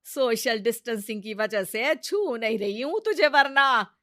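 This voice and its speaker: A woman playing a hot-tempered, stubborn older village mother-in-law in a cartoon, scolding loudly. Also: fairly high pitch, lively intonation, a little slow, no echo, clean studio sound. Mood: bossy, exasperated. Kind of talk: cartoon family comedy acting.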